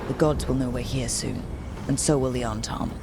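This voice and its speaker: A woman's voice: a bass voice, moderate pace, vocal fry, background noise. An actress playing a decisive female hero who leads her team with direct commands in a video game cutscene. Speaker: team leader. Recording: game audio with music.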